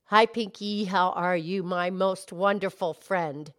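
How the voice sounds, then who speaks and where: A woman voicing a gentle female cow in a low, soft, deliberate voice, sometimes reading a tale aloud in character. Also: fairly even intonation. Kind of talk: storybook narration in character.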